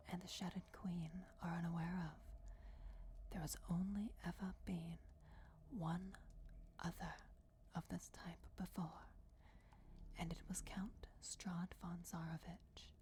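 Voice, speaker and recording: A solemn, commanding vampire goddess, acted by a woman whispers in a low, soft, mellow voice for a fantasy ASMR roleplay. She speaks very slowly and deliberately, with long dramatic pauses, ruthless yet motherly. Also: level tone, slight background noise. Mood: conspiratorial, commanding. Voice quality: breathy, whispered. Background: crypt ambience.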